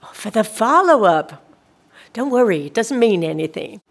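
A woman's voice: medium pitch, sing-song, moderate pace. A female social worker in a radio play. She speaks with careful politeness and an officious edge. Her manner is evasive and soothing, yet she keeps pressing. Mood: reassuring, insistent. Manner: politely insistent, bureaucratic, soothing reassurances. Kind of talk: scripted audio drama dialogue.

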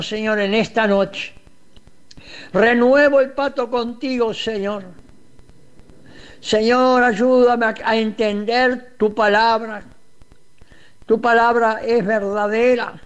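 High-voiced, slow-praying man. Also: clean tone. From Uruguay. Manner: fervent pleading prayer, repeating the address to God. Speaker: radio preacher. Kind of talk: radio prayer.

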